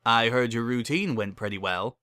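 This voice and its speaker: A man's voice: medium pitch, moderate pace, sharp tone, smooth, no echo, clean studio sound, natural voice. A man voicing an exasperated, easily sidetracked cartoon unicorn pony, plain-spoken and grumbling. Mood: casual.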